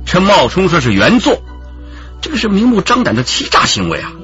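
An actor playing a shrewd, authoritative police inspector in a radio drama, low and husky, probing and wry. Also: male voice, wildly swooping pitch, a little fast, a bit bright, dull audio, faint music behind, heavily acted. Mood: stern lecturing. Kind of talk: Chinese radio drama acting.

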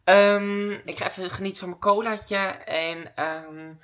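A woman's voice: mid-range voice, steady tone, measured pace, a bit bright, no room sound, clean studio sound. A woman chatting casually and informally to her vlog audience, thinking aloud as she goes. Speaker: daily vlogger and dancer.

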